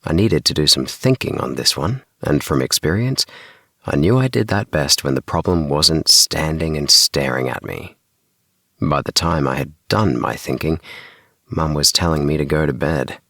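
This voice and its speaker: A man's voice: rumbling low, moderate pace, vocal fry, bright, forceful tone, no echo. A man narrating a children's sleep story as an audiobook, recorded close up in a small booth. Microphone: close mic in DIY recording booth.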